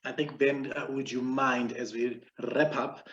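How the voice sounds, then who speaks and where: A man's voice: medium pitch, steady tone, unhurried, fairly bright tone. A man in his thirties from Zimbabwe, hosting a live video chat in a chatty, joking manner. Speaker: host. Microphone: video call. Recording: echoey, dull video call audio.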